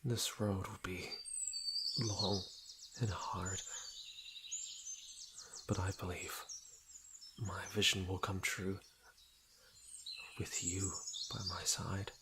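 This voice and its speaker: A man playing a commanding fantasy lord in an intimate audio roleplay, speaking in a measured, halting way that drops at times into whispers. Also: low-pitched, little pitch movement. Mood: weary, resolute. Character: commanding fantasy lord, wounded, trusting his bodyguard. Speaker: voice actor. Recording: noisy outdoor nature sound effects. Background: birdsong and forest ambience.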